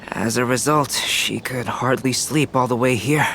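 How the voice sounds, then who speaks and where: A man voicing a calm, polite, scholarly young artist in a video game, measured, composed and precise. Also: medium pitch, slight background noise. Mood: composed, explanatory. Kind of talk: video game character acting. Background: background music.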